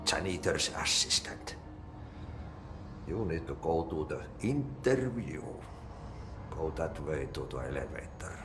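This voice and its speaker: An actor playing an enigmatic older janitor in a video game, with a Finnish accent and a cryptic, folksy, friendly yet faintly menacing manner. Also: male voice, very deep voice, sing-song, a little slow, pauses often, exaggerated voice. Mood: welcoming, matter-of-fact. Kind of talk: video game character acting. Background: music.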